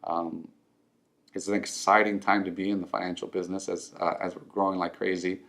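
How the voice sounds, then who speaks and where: Man, middle-aged, from Mexico, Spanish native speaker, very deep voice, earnest, lots of ums and restarts. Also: level tone, a little fast, gravelly, a bit bright. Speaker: financial firm owner. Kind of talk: personal story told to camera.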